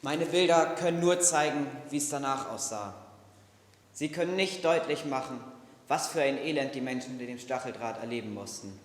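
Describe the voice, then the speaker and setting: A man's voice: mid-range voice, normal speed. Young man narrating solemnly in a hall. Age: young adult. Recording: echoey hall recording.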